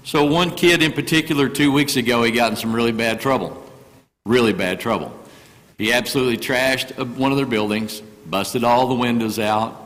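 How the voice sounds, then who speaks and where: A man's voice: mid-range voice, moderate pace, fairly bright tone, long reverb tail, poor audio. An older man, preaching in a church through a microphone and telling a personal story in an earnest, folksy way. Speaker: guest preacher. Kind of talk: sermon. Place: church sanctuary. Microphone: microphone through speakers.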